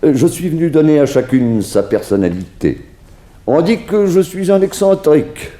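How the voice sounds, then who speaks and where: An actor playing a flamboyant, self-important Parisian couturier, courteous and theatrical, in a 1960s French radio drama. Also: male voice, on the high side, very animated, moderate pace, mellow. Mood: grandiose, amused. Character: flamboyant celebrated Parisian couturier, grandiose and eccentric man.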